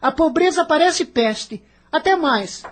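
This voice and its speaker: High-voiced, sullen young boy played by a woman. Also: lively intonation, slow, a little hiss or hum. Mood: surly, sarcastic. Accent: Brazilian. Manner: complaining, sarcastic. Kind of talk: audio novel acting.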